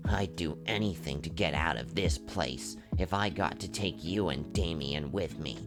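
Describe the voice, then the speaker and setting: A man's voice: a bass voice, normal speed. A man voicing a grumpy, bashful teenage gator girl in a visual novel, with a grumbling, sardonic manner. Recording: loud music behind the voice.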